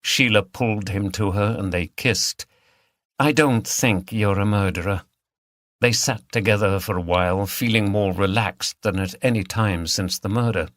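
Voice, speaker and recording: A man's voice: on the low side, fairly even intonation, moderate pace, few pauses, a bit bright, no echo. Man reading a mystery audiobook, very dry sound. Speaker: audiobook narrator. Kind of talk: scripted narration of a mystery novel.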